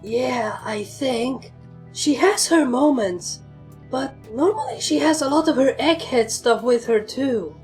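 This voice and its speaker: A woman voicing a brash, sceptical young female pegasus pony in a fan fiction story, blunt and quick to complain. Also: high-pitched, a little mellow, soft background music, pitched above the performer's own voice. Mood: casual, unsure.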